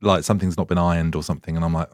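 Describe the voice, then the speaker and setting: Man, podcast host, rambling chatty talk, low pitch, dry close sound. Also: fairly even intonation, very fast, dry recording. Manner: chatty, rambling, laughs often. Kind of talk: casual interview chat.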